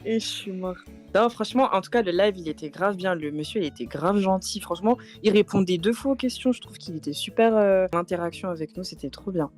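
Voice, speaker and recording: A woman's voice: mid-range voice, fairly quick, a little mellow, faint music behind. A woman streamer interpreting for a guest, chatty and a bit nervous, with many fillers and restarts. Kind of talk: casual live stream chat and interpreting.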